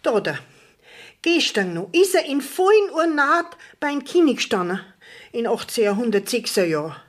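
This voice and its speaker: An actress playing an indignant, long-winded village widow in a radio play, plaintive and emphatic, with a Bavarian accent. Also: female voice, a little high, very animated, measured pace. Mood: aggrieved, lamenting. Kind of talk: 1970s dialect radio play acting.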